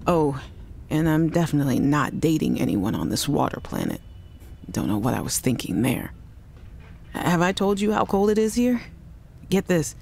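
An actress playing a worried woman scientist who leaves halting, apologetic voice messages for her sister in a video game. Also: deep voice, very animated, gravelly, darkish tone, a little hiss or hum, full character voice. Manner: anxious, apologetic, halting restarts. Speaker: game character.

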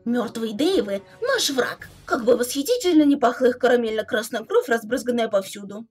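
A woman's voice: a little high, lively intonation, fairly quick, darkish tone, husky, faint music behind. A woman voicing a playful, bossy teenage alien troll girl in a theatrical webcomic dub. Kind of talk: fan dub of a webcomic chat.

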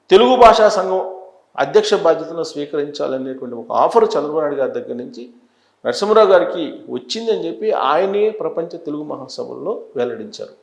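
Higher-pitched man commentating, roomy echo. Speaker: news analyst presenter. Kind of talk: unscripted news commentary. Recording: echoey, roomy sound.

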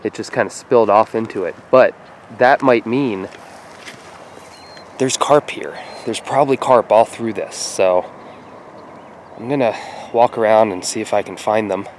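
A young man casually narrating his fishing trip, speaking quietly as he stalks fish by a lake. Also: measured pace, long pauses, slight background noise. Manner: hushed and low while stalking fish. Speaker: fishing vlogger. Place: outdoors by lake flats, partly in a car. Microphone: action camera on head or in hand.

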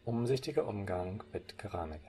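A man's voice: lowish voice, lively intonation, moderate pace, mellow, soft background music. A man from Germany presenting, reading scripted narration for a slide presentation in a formal way. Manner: formal, read-out delivery.

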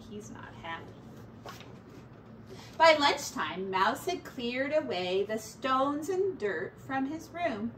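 A middle-aged woman reading a children's storybook aloud like a teacher, in a measured, dramatic way. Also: a little high, a bit bright. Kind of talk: expressive children's storybook narration. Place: small attic-style bedroom, indoors. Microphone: distant camera mic. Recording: roomy, distant mic, faint noise.

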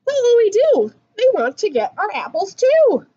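A young adult woman reading a rhyming picture book aloud to children in an expressive, singsong way. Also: extremely high pitch, normal speed, lo-fi sound. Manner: animated, singsong rhyming delivery. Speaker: children's story reader.

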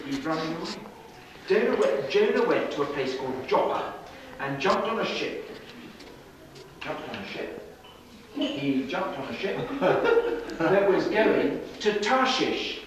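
Middle-aged male children's storyteller, slow and very expressive; distant, noisy recording. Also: on the high side. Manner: slow, animated, with pauses and questions to the children. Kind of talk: interactive Bible storytelling to young children. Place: children's classroom with seated young audience. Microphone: distant camera at back of room. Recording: echoey, noisy room recorded from far off.

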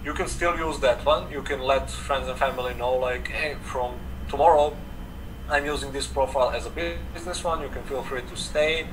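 A man's voice: mid-range voice, moderate pace. A young man from Serbia with a Serbian accent, a native Serbian speaker, talking casually as an interview guest, full of "like" fillers. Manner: relaxed, frequent "like" fillers, gestures while talking. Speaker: guest, affiliate marketer. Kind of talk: casual video call interview. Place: home room indoors. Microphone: video call webcam. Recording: distant webcam mic with air conditioner hum.

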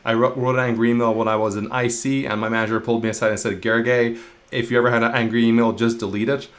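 Man from hungary, thirties, quick pace, restarts and repeats himself, earphone mic on a video call. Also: mid-range voice, little pitch movement. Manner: fast, restarting, repeats words. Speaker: guest, engineering manager. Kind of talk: casual interview talk. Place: home room, video call. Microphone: earphone headset mic.

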